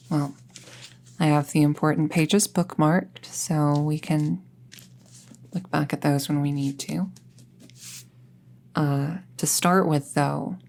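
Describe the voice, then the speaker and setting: An earnest apprentice witch, acted by a woman in an intimate roleplay audio, talking slowly and haltingly as she reassures a close friend. Her voice sits low with a creaky edge, over faint background noise. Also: heavily acted. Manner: hesitant, halting, gently reassuring.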